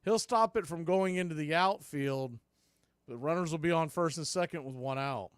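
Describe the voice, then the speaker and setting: A man's voice: a little high, normal speed, smooth, no echo, studio quality. A man calling a baseball game live as a play-by-play announcer.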